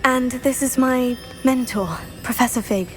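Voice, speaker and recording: A woman's voice: high-pitched, a little slow, faint music behind. A composed young student witch. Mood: calm.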